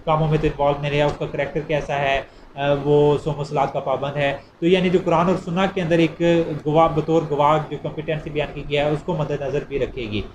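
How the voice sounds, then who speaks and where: A man's voice: a little high, little pitch movement, a little fast, hardly any pauses. A man with a Pakistani accent giving an informal explanatory law lecture in a didactic way, repeating points as he goes. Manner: didactic, repeats points, poses and answers questions. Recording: clearly noisy amateur recording.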